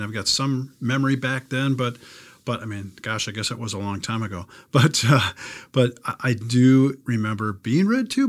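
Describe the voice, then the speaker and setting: A middle-aged man in casual, reflective conversation, rambling informally as he thinks aloud. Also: mid-range voice.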